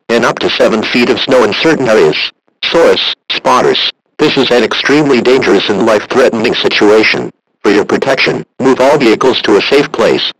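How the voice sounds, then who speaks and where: A man's text-to-speech voice reads an official warning. It sits at a middle pitch with a rough, husky edge. The delivery is formal and impersonal. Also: fairly bright tone, poor audio. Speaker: emergency alert announcer. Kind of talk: formal scripted warning message.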